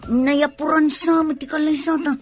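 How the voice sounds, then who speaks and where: An actress playing an anxious young bride who questions and reproaches, in an Ilocano radio drama. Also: female voice, high-pitched, steady tone, moderate pace, over music, close to an everyday voice. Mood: doubtful.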